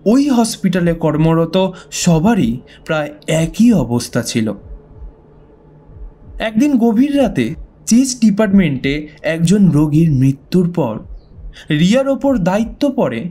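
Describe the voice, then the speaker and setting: Man, high-pitched voice, mellow tone, suspenseful horror narration, deliberate pauses, music underneath. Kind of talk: dramatic scripted ghost story narration. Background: background music.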